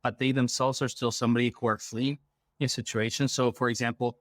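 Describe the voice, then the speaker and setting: A man's voice: medium pitch, little pitch movement, a little fast, no room sound, studio quality. A man from Ecuador explaining things conversationally as an interview guest, often checking in with the listener. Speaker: interview guest, refugee program worker.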